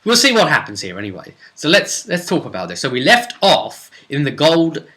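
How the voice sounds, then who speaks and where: A man's voice: on the high side, wildly swooping pitch, normal speed, pushed and bright. A man with a British accent hosting a casual, unscripted tabletop role-playing recap as game master, chatty and rambling. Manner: rambling, chatty, acts out bits of dialogue.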